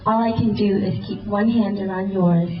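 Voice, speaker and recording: A woman's voice: mid-range voice, fairly even intonation, moderate pace, dull audio, faint music behind. Young woman, spoken word poet, earnest rhythmic delivery, mid-range voice, distant and roomy live hall recording. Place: indoor event hall.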